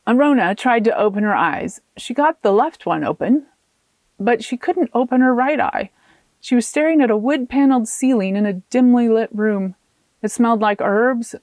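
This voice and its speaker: A woman author reading her own fiction aloud as an expressive audiobook narrator. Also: on the high side, dry recording.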